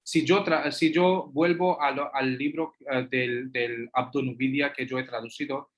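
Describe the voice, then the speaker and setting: Slow, halting male lecturer. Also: mid-range voice, fairly even intonation, a bit bright. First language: Hindi. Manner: slow and halting, many fillers and restarts. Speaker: guest lecturer and translator. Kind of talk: answering audience questions.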